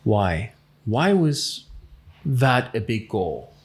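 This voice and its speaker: A man conducts an interview, asking his questions at an unhurried pace. His voice sits in an ordinary middle register for a man. His delivery is calm and reflective. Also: medium pitch, breathy edge.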